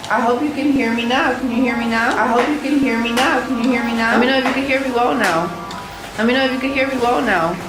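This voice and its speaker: A woman's voice: fairly high pitch, fast, recorded from a distance. A woman from New York City, a ceramic artist and art teacher, chatting casually on a livestream and often checking that listeners can hear her. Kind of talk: casual livestream show-and-tell. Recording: echoey livestream audio from afar with noise.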